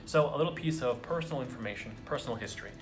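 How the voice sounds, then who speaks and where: A man's voice: medium pitch, expressive, very fast. A young man from the US talking earnestly to camera in a casual vlog, encouraging viewers with frequent ums and restarts. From United States. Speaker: vlogger.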